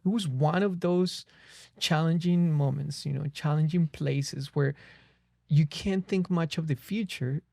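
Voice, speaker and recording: A man's voice: a little high, unhurried, creaky, darkish tone, no room sound, studio quality. A man with a Colombian accent, a native Spanish speaker, telling his story reflectively as a podcast guest. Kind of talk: personal storytelling in a conversation. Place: small podcast studio. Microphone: close boom-arm studio microphone.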